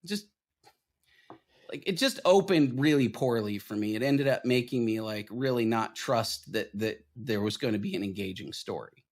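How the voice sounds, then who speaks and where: A man hosting a casual film discussion podcast, explaining his points in an animated, emphatic way. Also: mid-range voice, normal speed. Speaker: podcast host.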